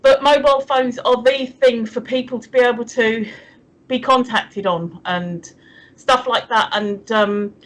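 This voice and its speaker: Woman in her fifties, slightly high voice, chatty, laughs easily, livestream co-host. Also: steady tone, a little slow, rough recording. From England. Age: 50s. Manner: chatty, laughs easily, reads viewer comments. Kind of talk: casual chat.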